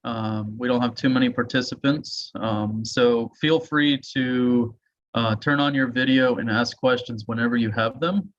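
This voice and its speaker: A man's voice: medium pitch, steady tone, unhurried, fairly bright tone. A man hosting an informal webinar over a video call, speaking casually with hesitant phrasing. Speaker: host and moderator. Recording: dull, muffled video-call audio.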